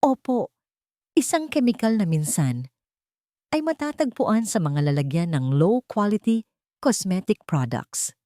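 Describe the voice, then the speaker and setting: A woman voicing a serious hospital doctor who explains gravely and formally in a dramatized story. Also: medium pitch, very animated, unhurried, mellow, no room sound, clean studio sound, voice pushed up. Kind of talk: audio drama storytelling in Tagalog.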